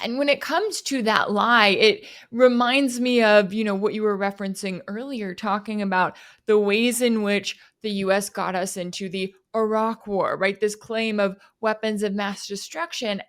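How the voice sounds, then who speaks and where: Female host, American accent, medium-pitched voice. Conversational, articulate political interviewer.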